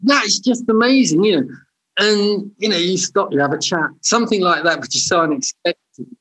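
High-pitched enthusiastic man. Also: moderate pace, bright, forceful tone, rough recording. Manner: enthusiastic, repeats "you know". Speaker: guest. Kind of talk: casual chat.

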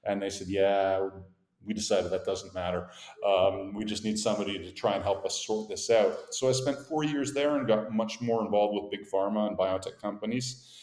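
A middle-aged man with a Canadian accent, a company founder telling his career story in measured, articulate sentences. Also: lowish voice, steady tone, a little mellow. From grew up in Montreal, born in Boston. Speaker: guest, company founder and CEO. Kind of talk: interview conversation. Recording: echoey room.

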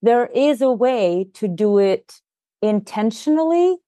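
A woman's voice: a little high, animated, takes it slowly, mellow, no room sound, clean studio sound. A woman whose first language is Russian, a podcast guest and leadership coach, explaining things earnestly in casual conversation with frequent "you know" and "like".

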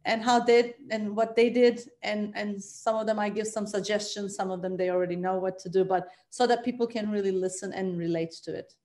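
Woman, first language Turkish, trauma therapist guest, soft-spoken, earnest, hesitant pauses, mid pitch. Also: fairly even intonation. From Turkey. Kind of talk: casual interview conversation.

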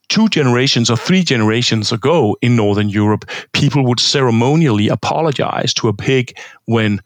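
A man from Denmark answers interview questions at an even middle pitch, pausing often with fillers and restarting his phrases. The sound is dry and close, with little room in it. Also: no room sound. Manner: thoughtful, halting, many fillers and restarts. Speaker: guest, historian of religion.

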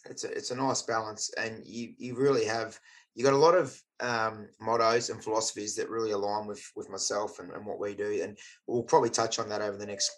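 Matey Australian podcast host. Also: male voice, on the low side, level tone, brisk, rough recording. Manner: enthusiastic, matey, stumbling restarts. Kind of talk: casual podcast interview.

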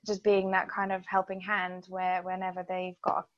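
A woman with a Lithuanian accent, her native language, talks as an interview guest. She is hesitant, filling many pauses, and keeps a flat, even pitch. The recording is dry and somewhat dull. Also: no echo. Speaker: guest, events marketing business owner. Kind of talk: casual interview chat.

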